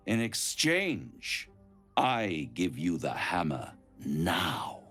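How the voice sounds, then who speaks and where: An actor playing a suave, scheming devil in a video game, silky, grandiose and menacing, with music underneath. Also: male voice, huge pitch swings, drawn-out words, fairly bright tone, exaggerated voice. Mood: coaxing, imperious. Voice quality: low, gravelly. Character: suave, scheming, gloating male devil making bargains. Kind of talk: dramatic video game acting.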